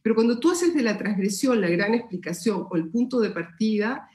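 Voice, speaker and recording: A woman's voice: fairly high pitch, lively intonation, moderate pace, a little mellow, roomy sound. A Peruvian woman speaking Spanish with a Peruvian accent, giving a thoughtful academic seminar answer with many fillers and restarts. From Peru. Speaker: sociology lecturer answering questions.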